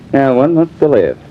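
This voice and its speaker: An actor playing a sardonic, disillusioned husband in a mannered, theatrical 1950s American radio drama style. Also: male voice, mid-range voice. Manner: wry, mannered, theatrical delivery. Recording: dull, hissy vintage radio recording.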